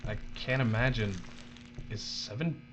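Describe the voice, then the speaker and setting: An actor playing an uneasy young novice worker, subdued and hesitant, in a dark audio drama. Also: male voice, on the low side, unhurried, close to an everyday voice. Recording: dull audio with clear mains hum.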